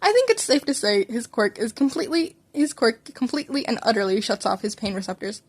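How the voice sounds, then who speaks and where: A woman reading the part of a male medical specialist, matter-of-fact and quietly unsettling, in an audio story. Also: a little high, expressive, a little fast, slightly rough.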